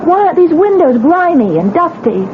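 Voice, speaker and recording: A woman's voice: very high voice, very animated, normal speed, noisy background. An actress playing a shaken young wife who insists on what she saw and fears she is losing her mind, in a 1970s radio drama. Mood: insistent. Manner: pleading, insistent, near tears. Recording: tinny old radio broadcast.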